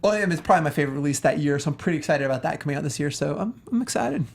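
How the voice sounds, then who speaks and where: A man with a fairly high voice, talking quickly. He is an enthusiastic co-host who jokes as he chats. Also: animated, gravelly. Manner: enthusiastic, joking. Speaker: panel show co-host. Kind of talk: casual chat about video games.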